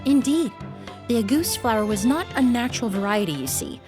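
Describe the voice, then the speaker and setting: An actress voicing a refined young woman perfumer in a video game, speaking in a measured, articulate way. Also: fairly high pitch, big pitch swings, music in the background. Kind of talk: game voice acting.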